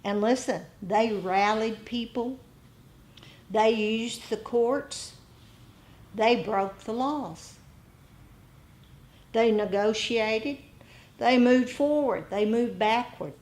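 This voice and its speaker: A woman meditation teacher giving a dharma talk to a group, speaking deliberately in short phrases with rhetorical questions. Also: on the high side, darkish tone.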